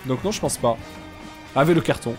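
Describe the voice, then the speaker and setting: A young man with a stuffy nose, chatting casually and joking deadpan with viewers while he streams a game. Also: medium pitch, brisk, faint music behind. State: congested, sick with sinusitis. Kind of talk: casual live-stream chat while gaming.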